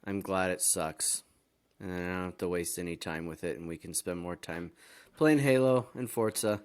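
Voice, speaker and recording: A man's voice: deep voice, moderate pace, darkish tone, smooth. A man co-hosting a gaming podcast, chatting informally and wryly in an anecdotal way. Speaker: podcast co-host. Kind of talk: casual gaming podcast chat.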